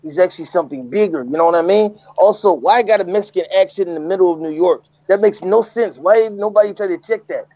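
A higher-pitched man riffs on a movie with a podcast co-host, joking and mocking characters in put-on voices. The recording is dry and close, with a slightly dull, muffled top end. He talks fast when he gets going. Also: dry recording. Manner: joking, animated, breaks into mocking impressions. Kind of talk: casual comedic movie-review chat.

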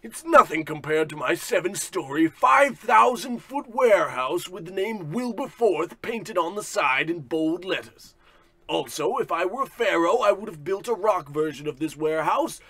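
High, bombastic, pompous tycoon. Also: male voice, expressive, moderate pace, pushed and bright, breathy edge, exaggerated voice. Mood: boastful, scornful. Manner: bombastic, self-important. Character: pompous boastful male industrial tycoon, bullying boss. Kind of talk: parody of old radio drama acting.

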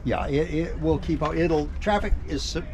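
An older man with a slightly high voice walks a visitor around his custom truck, explaining each part in a plain, practical way with plenty of "uh"s. He is recorded outdoors on a camera mic, with clear outdoor noise all around. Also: moderate pace. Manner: matter-of-fact, plain and practical, with frequent fillers. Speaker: truck owner giving a tour. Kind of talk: casual explanation. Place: outdoors at a gravel truck show lot.

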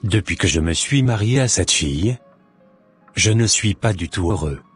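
An actor playing a polite, scheming young evangelist in a cartoon drama, speaking in measured, formal phrases. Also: male voice, deep voice, animated, a bit bright, breathy edge, soft background music, heavily acted. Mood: fed up.

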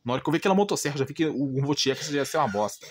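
A man's voice: medium pitch, very fast, husky. A man with a Brazilian Portuguese accent gives an informal, blunt rant, exasperated and repeating himself with rhetorical questions. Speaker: gaming channel host.

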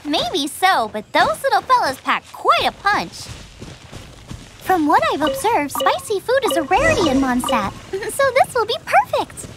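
A woman voicing an eager, bubbly teenage girl chef in a video game, with a perky, expressive manner. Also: extremely high pitch, measured pace, loud music behind the voice, exaggerated voice. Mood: excited. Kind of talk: animated video game voice acting.

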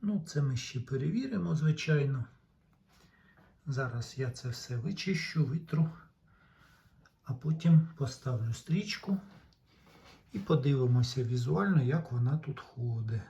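Guy explaining repair stuff casually, slow n halting, mellow tone, bit of a dull recording. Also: mid-range voice, lively intonation, gravelly. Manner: deliberate, halting, pauses mid-sentence. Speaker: tape recorder repair vlogger. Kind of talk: casual hands-on technical explanation.

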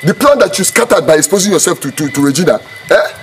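A man's voice: a little high, animated, quick pace, close to an everyday voice. A man acting a scheming, dismissive nephew in a film drama, with a Nigerian accent, blunt and repeating himself. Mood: defensive, annoyed. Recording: noisy location film sound.

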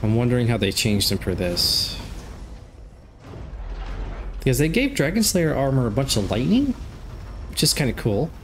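A man's voice: mid-range voice, normal speed, pauses often, a little hiss or hum. Chatty stuffed-up young man. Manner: chatty, jokey, excitable outbursts during fights. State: stuffed up from a cold. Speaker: gameplay commentator. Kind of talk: casual let's play commentary. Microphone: desk microphone.

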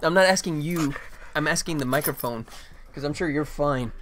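A man's voice: fairly high pitch, moderate pace, fairly bright tone, a little hiss or hum. A middle-aged man chatting casually on a game developer livestream, rambling and jokey in a self-deprecating way, speaking into a desktop mic indoors. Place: indoor room at a computer. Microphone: desktop microphone.

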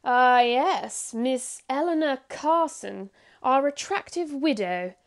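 A woman playing a breezy, chummy gentleman cricketer in a 1930s radio drama. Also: sits high, lively intonation, slow, exaggerated voice.

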